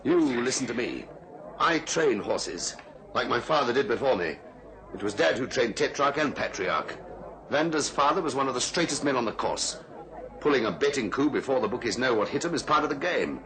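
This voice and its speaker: Man playing forthright racehorse trainer, animated delivery. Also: mid-range voice, normal speed, a little hiss or hum, close to an everyday voice. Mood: firm indignation. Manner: firm, indignant, forthright. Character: principled, blunt male racehorse trainer. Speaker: actor. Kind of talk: radio drama acting.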